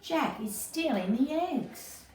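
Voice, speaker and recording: A woman voicing a kind, earnest male squirrel in a children's storybook, with expressive delivery. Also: high-pitched, takes it slowly, voice pushed up. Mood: alarmed, protesting. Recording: roomy echo, distant mic, faint noise.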